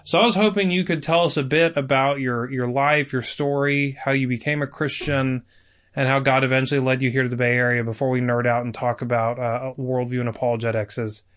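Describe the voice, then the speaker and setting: A man's voice: mid-range voice, flat delivery, fairly quick, fairly bright tone. A man hosting a podcast, chatty and upbeat, joking as he introduces his guest. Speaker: podcast host. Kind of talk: casual podcast chat.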